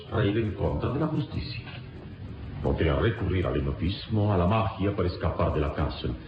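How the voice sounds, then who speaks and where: Noble radio serial hero, deep voice, formal n dramatic, echoey old-sounding audio w music. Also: male voice, dull audio. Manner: solemn, declamatory, formal. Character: noble heroic adult male adventurer, calm and principled. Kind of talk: radionovela acting.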